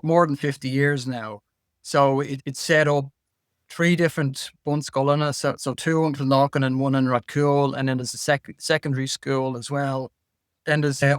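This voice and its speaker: Slightly high-voiced man, halting. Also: clear, resonant tone, no echo, studio quality. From Ireland. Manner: halting, repeats words while thinking. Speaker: guest. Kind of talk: casual interview chat.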